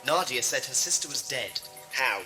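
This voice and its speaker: A man playing an earnest, anxious companion in an audio drama, urgent and quick to protest. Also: mid-range voice, animated, pushed and bright, faint music behind, low-quality recording. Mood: shaken. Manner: urgent, indignant. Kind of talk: fan audio drama acting.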